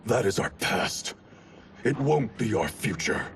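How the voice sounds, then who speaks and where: A man's voice: mid-range voice, animated, a little slow, vocal fry, fairly bright tone, slight background noise. An actor playing a proud, arrogant young male ninja clan grand master in a video game, speaking in a cold, haughty, dismissive way. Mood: ambitious.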